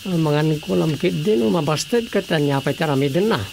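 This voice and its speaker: An actress playing an inquisitive, gossiping village relative in a Filipino radio drama comedy, with an Ilocano accent. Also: female voice, low voice, lively intonation, fast, creaky, darkish tone, faint music behind. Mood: curious, gossipy.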